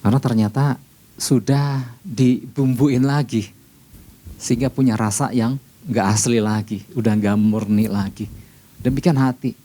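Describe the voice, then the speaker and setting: A man's voice: mid-range voice, steady tone, unhurried, pauses often, dark tone. A man preaching a conversational sermon as a pastor, explaining step by step and repeating key phrases. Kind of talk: sermon, conversational teaching with scripture reading.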